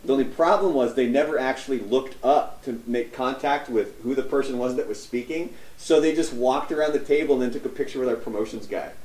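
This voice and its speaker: A man's voice: mid-range voice, a little fast, few pauses, darkish tone, recorded from a distance. Male radio host, joking storyteller, roomy echo. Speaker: radio morning show host. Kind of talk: casual radio talk show chat.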